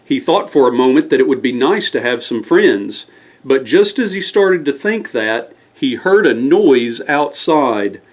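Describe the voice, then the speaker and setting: A man's voice: medium pitch, sing-song, measured pace. A man reading a scripted bedtime story aloud as the storyteller.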